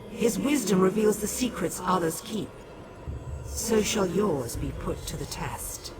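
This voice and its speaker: A woman playing a solemn, regal living goddess in a fantasy game, speaking in a stately, commanding, measured manner. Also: medium pitch. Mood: solemn, instructive. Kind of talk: scripted fantasy game dialogue. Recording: noisy game ambience behind.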